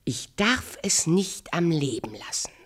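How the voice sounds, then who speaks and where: A woman's voice: on the low side, very animated, a little slow, husky, heavily acted. A woman playing a vain, vicious fairy-tale queen in a radio play, with a theatrical, commanding delivery that moves from outcry to hiss. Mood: indignant.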